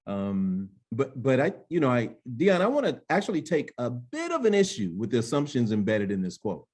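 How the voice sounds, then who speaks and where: A middle-aged man with an American accent speaking as a panelist in a webinar, explaining deliberately and emphatically, often checking in with "right?". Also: medium pitch, wildly swooping pitch, darkish tone, husky. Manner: emphatic, deliberate, peppered with "right?" and "you know". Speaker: panelist and language education specialist.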